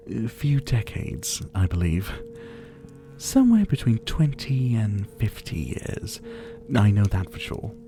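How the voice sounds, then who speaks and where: A man playing a menacing, teasing vampire captor in an intimate ASMR roleplay, drawling and mockingly polite. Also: mid-range voice. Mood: idle, conversational. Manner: slow, drawling, mockingly polite, with breathy chuckles. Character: menacing, teasing male vampire captor, silver-haired, about seventy.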